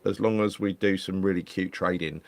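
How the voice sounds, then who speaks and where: Host, man in his 50s, lowish voice, loose rambling chat over a webcam. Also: fairly even intonation, a little fast, gravelly. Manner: chatty, rambling, repeats phrases. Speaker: podcast host. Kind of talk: casual football chat reading live comments. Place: home room.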